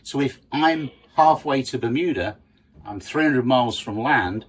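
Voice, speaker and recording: A man's voice: mid-range voice, unhurried, breathy edge, low-quality recording. A man teaching a practical lesson, explaining step by step and stressing key points in a didactic, emphatic way. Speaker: sailing instructor. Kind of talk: explanatory lesson with worked examples.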